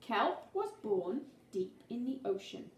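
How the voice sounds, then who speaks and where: A woman reading a picture book aloud to young children in an expressive, deliberate way, drawing out words for emphasis, recorded in a living room. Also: fairly high pitch, highs cut off. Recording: echoey living room, faint background noise.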